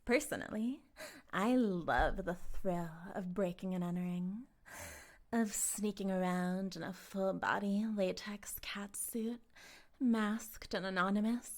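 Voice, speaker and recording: A woman playing a smug, possessive female cult leader in an intimate roleplay monologue, speaking deliberately and teasingly, often slipping toward a breathy whisper. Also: mid-range voice, darkish tone, natural voice. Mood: gloating, seductive.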